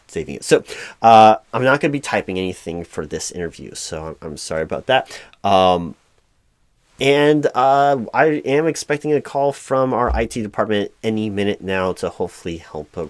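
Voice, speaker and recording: A man with a low voice plays a mildly frustrated hiring manager in a one-sided interview roleplay. He speaks in a halting, flat, office-formal way, apologetic and a bit flustered. The sound is close and dry. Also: no room sound. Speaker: roleplay performer as recruiting manager.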